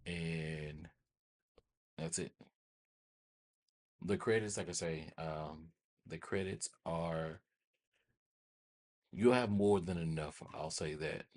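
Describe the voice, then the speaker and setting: A man hosting a casual software tutorial, thinking aloud informally and often repeating his phrases. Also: sits low, slow, pauses often. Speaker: tutorial host.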